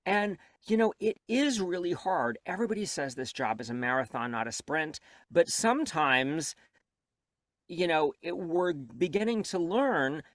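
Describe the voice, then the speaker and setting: A reflective, self-deprecating man speaks as a guest in an interview, and his voice sits a little high. The recording is dry and close to the mic. He picks up pace when he gets animated. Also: unhurried, no echo. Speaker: audiobook narrator and coach, guest. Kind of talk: candid interview conversation.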